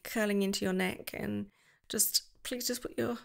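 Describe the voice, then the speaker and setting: Soft-voiced woman with vocal fry. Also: medium pitch, steady tone, moderate pace. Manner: soft, affectionate, giggly, drifting into whispers. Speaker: ASMR roleplay creator. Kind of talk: girlfriend roleplay, speaking to the listener.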